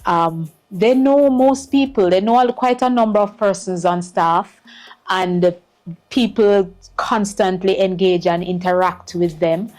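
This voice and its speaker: Slow, earnest woman. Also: mid-range voice, a little mellow, faint music behind, rough recording. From Jamaica. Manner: earnest, repeats words while finding them. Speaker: school principal. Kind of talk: interview answers.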